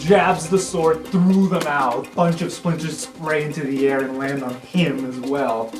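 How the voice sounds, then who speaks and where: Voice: mid-range voice, fairly even intonation, unhurried, far from the mic. A game master narrating an improvised tabletop roleplay scene as a storyteller, with spoken sound effects. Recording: echoey room with music underneath. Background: music.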